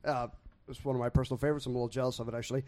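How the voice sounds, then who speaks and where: A man's voice: mid-range voice, level tone, very fast, darkish tone. A man hosting a casual podcast chat, joking and laughing often with his friends. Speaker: podcast host.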